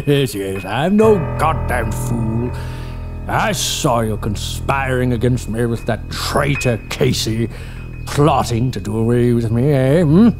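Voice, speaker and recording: A man's voice: medium pitch, animated, slow pace. An actor playing a paranoid, greedy old male archaeologist who rants and sneers, with music behind. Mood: paranoid gloating. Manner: ranting, sneering, bursts into shouting. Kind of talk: audio drama acting.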